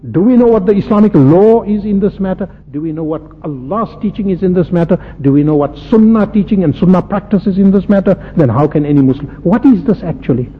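A man's voice: high voice, quick pace, few pauses, breathy edge. A man preaching an impassioned Islamic sermon, emphatic, with repetition and rhetorical questions. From South Africa. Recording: muffled, reverberant hall with background noise.

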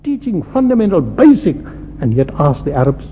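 A man from South Africa preaching a sermon, impassioned, firing rhetorical questions and swinging from loud peaks to soft low asides. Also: sing-song, fairly quick. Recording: lo-fi phone-like radio broadcast with faint hiss and long hall reverb.

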